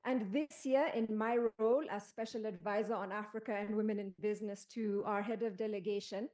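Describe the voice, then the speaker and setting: A woman from Kenya with a Kenyan accent, speaking as a panelist and advisor in a formal, measured, emphatic speech. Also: mid-range voice, steady tone, a little mellow.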